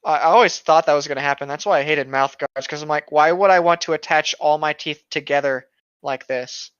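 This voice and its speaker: A man's voice: on the high side, fairly quick, fairly bright tone, clean tone, no room sound, muffled recording. A man co-hosting, reading a story aloud with casual, sweary commentary and stopping to correct himself. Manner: sweary, self-correcting reader. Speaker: co-host.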